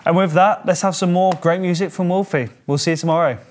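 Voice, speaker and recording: A man's voice: high voice, fairly even intonation, fast talker. A man hosting a local TV show, interviewing a guest with an upbeat, enthusiastic manner. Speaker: TV show host. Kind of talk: casual studio chat.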